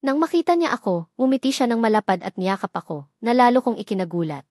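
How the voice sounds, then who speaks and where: A Filipino woman tells a scripted romance story in the first person. Her voice sits fairly high, and the recording is dry and close, with very little room sound. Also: big pitch swings, fast, a little mellow, no room sound.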